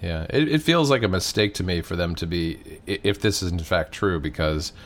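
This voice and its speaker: A man's voice: low-pitched, fairly quick. A man co-hosting a podcast, talking in an easygoing, conversational way with some ums, stuffed up from a cold. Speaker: podcast co-host. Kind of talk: casual podcast chat.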